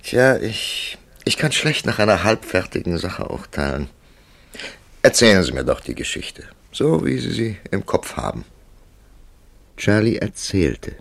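An actor playing a worldly, wry male writer who narrates his tale in a radio play with measured, dramatic storytelling. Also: sits low, creaky, a little mellow, no echo, heavily acted. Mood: dryly persuasive, then reflective narration. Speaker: narrator and lead character.

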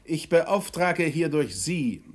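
A man playing a smug, formal Prime Minister with brisk, suave authority in a radio play. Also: a little high, expressive. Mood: brisk, official. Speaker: actor. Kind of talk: 1960s German radio play acting.

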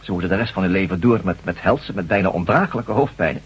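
An actor playing an authoritative professor of brain surgery in a radio drama, formal, measured and lecturing. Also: male voice, low voice, animated, breathy edge, exaggerated voice. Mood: grave, emphatic. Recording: dull lo-fi old radio recording.

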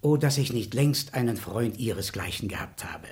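A man's voice: lowish voice, lively intonation, normal speed, a little mellow, natural voice. An actor in his fifties playing a courteous, effusive country baron in a radio drama. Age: 50s. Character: warm-hearted fifty-year-old male baron.

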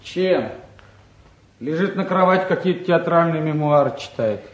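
An actor playing a bored, restless eighteen-year-old younger son, grumbling and flippant in a naturalistic drama. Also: male voice, fairly high pitch, lively intonation, normal speed, dull audio, close to an everyday voice. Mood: mocking. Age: young adult. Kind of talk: naturalistic stage and radio drama acting.

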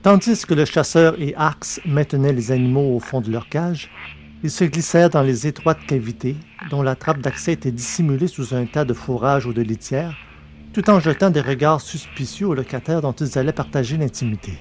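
A man reads scripted science-fiction narration. His voice sits in a middle register. The delivery is measured and literary, like an audiobook. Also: medium pitch, slight background noise.

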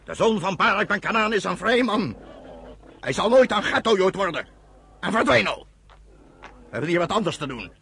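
A man's voice: sits high, big pitch swings, moderate pace, creaky, pauses often, pushed and bright, slightly rough, full character voice. An actor playing a stern, proud farmer father in a Dutch radio drama, speaking in an emphatic, commanding way. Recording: noisy old radio play recording.

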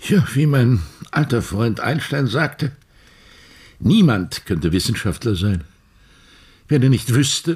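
An actor playing an elderly, philosophical and evasive science professor in a radio play, husky and deliberate in delivery. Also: male voice, medium pitch, exaggerated voice. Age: older.

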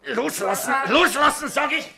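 A man acting a fervent, combative nationalist agitator with a gravelly voice in a 1960s German radio play. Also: very high voice, moderate pace, a crowd in the background. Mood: panicked, shouting.

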